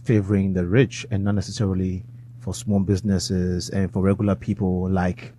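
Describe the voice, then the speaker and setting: Male show host, lowish voice, casual and halting interviewer. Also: level tone, warm tone, slight background noise. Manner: loose, halting, prompts the guest. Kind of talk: interview hosting.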